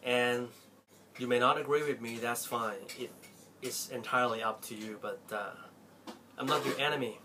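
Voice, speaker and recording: A man's voice: medium pitch, moderate pace, background noise. Earnest Thai man talking to camera. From Thailand. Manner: heartfelt, pleading, expressive swings of loudness. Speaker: Apple store salesperson and tech vlogger. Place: small cluttered bedroom indoors.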